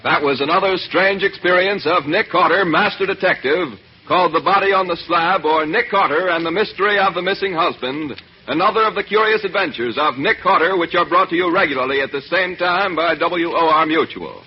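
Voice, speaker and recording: A man's voice: on the high side, a little fast, soft background music. A man announcing a 1940s radio serial in a formal, polished scripted delivery. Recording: muffled 1940s radio recording.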